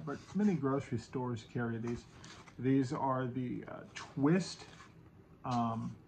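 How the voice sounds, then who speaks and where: A man in his thirties giving a casual, conversational how-to talk to the camera in a small room at home. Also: mid-range voice. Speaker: video host, online seller. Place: small indoor home office with bookshelves. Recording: clear background room noise.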